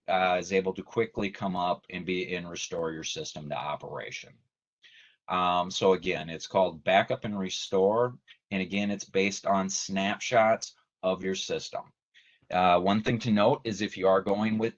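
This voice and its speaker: A man giving a measured, methodical technical explanation as a trainer in an online webinar. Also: deep voice. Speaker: technical trainer, company presenter. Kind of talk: explanatory webinar presentation, semi-scripted. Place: online video meeting. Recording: dull lo-fi video call audio.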